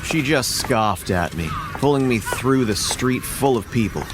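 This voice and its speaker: A man's voice: mid-range voice, lively intonation, measured pace, music in the background, close to an everyday voice. An actor in his thirties narrating a horror story in the first person in a dry, sardonic way. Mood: wry. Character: wry man narrating his own ordeal. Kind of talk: scripted horror audio drama narration.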